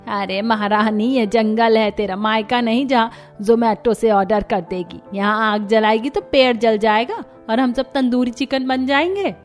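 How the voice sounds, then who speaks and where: A woman's voice: on the high side, measured pace, lightly acted. A woman playing a grumbling, teasing elderly in-law in a Hindi cartoon family story. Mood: sarcastic. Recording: music behind the voice.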